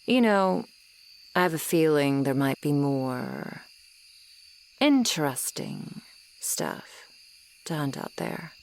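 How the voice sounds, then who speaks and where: Woman playing a slow, low-voiced tsundere monster girl. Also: sing-song, creaky, a little hiss or hum, exaggerated voice. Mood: teasing, aloof. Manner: slow, sardonic, haughty but softening. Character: tsundere swamp monster girl, ageless, grudgingly kind, aloof. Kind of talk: ASMR roleplay acting, addressed to the listener. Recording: night swamp ambience with insects behind. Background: crickets and insect ambience.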